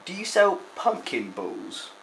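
A man's voice: high voice, sing-song, slow pace. A young adult man making a prank phone call, asking politely and hesitantly with feigned earnestness. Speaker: prank caller. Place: dim room at home. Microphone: handheld camera close by. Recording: amateur camcorder audio with clear noise.

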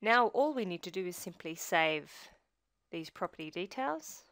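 Dry-sounding woman narrating a software tutorial. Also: fairly low pitch, animated, no room sound. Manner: measured step-by-step instruction. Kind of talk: scripted screencast narration.

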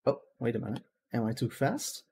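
A man's voice: mid-range voice, expressive, fairly quick, creaky, warm tone, slightly rough. A man in his thirties whose first language is Dutch, chatting casually through a headset while playing a game at home and laughing at his own mistakes. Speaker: gaming streamer. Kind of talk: casual let's-play commentary. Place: small room at home.

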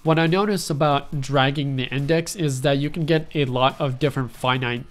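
A young man with a congested, stuffy voice talks through a synth patch in a loose, easygoing way, filling gaps with little fillers as he goes. His pitch sits right in the middle for a man, and quiet synth music plays faintly underneath. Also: moderate pace. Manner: relaxed, rambling explanation with frequent fillers. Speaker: music production tutorial host. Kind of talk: casual screen-recorded software tutorial.

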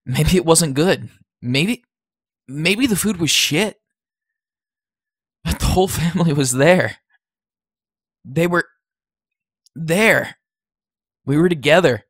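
A man's voice: fairly high pitch, animated, slow pace, pauses often, a bit bright, husky, dry recording, full character voice. Actor as a wistful man, dry recording. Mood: wistful, bitter. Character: wistful, wounded man reminiscing about family. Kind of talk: audio drama acting.

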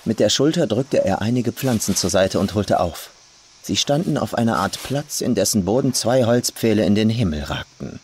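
A man's voice: lowish voice, lively intonation, moderate pace, darkish tone, slight background noise. A man reading a scripted horror story as an audiobook narrator. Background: faint atmospheric ambience.

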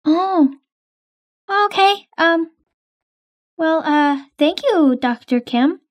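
A woman with a high voice plays her part in a casual game roleplay. She talks in a hesitant, chatty way with many fillers. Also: animated, extremely slow. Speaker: roleplay player.

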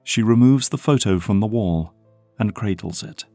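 A man's voice: fairly low pitch, normal speed, warm tone, no echo, soft background music. A man reading scripted narration for an audio drama.

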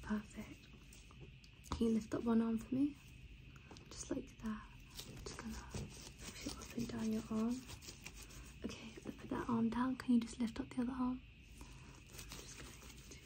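A woman speaking in a whispery, breathy soft voice in an ASMR exam roleplay, gentle and reassuring, often repeating short phrases. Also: on the high side, slight background noise, rough recording. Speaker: ASMR roleplay creator playing an examiner.

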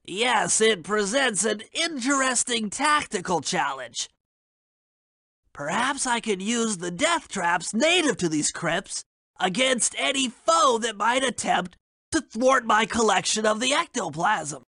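An actor playing a nerdy, theatrical turtle mastermind in a video game, wordy and pedantic. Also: male voice, high voice, expressive, slow, sharp tone, no echo, clean studio sound, exaggerated voice.

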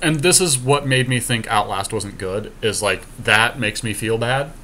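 A man's voice: mid-range voice, moderate pace, crowd noise. A man giving casual, joking gameplay commentary with some swearing, as a podcast co-host. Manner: joking, profane trash talk. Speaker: podcast co-host playing online card game. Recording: clear background noise.

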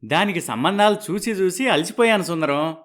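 A man's voice: high-pitched, expressive, a little fast, fairly bright tone. A man playing a cautious, worried middle-aged father of a bride in a dramatized moral story. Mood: anxious.

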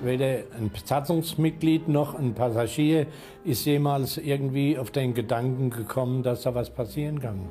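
A man's voice: medium pitch, normal speed. Man recounting a memory, music behind. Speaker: eyewitness. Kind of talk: recounting a memory in a documentary interview. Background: music bed.

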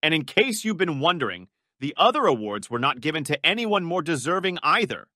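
A man's voice: fairly high pitch, sing-song, fairly quick, bright, forceful tone, no echo. A man reading scripted opinion commentary in a scornful, sarcastic way, close-miked as a voice-over. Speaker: video commentator.

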